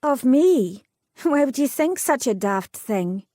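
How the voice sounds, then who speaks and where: A woman's voice: high voice, big pitch swings, slow pace, dark tone, no room sound, clean studio sound, voice pushed up, full character voice. A woman voicing a wry, headstrong young noblewoman, speaking in a lilting, old-fashioned way.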